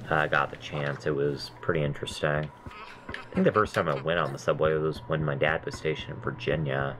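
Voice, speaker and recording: A man with a nasal voice chatting casually while playing a game, rambling between stories and swearing at his mistakes. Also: very deep voice. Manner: rambling, self-deprecating, swears at deaths. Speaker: gameplay commentator. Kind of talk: casual let's-play chat.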